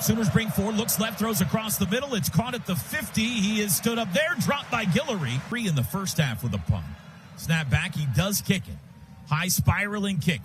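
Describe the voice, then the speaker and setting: American male radio play-by-play voice, highish pitch, clipped rapid football calls over loud stadium noise. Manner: rapid clipped phrases, present-tense narration.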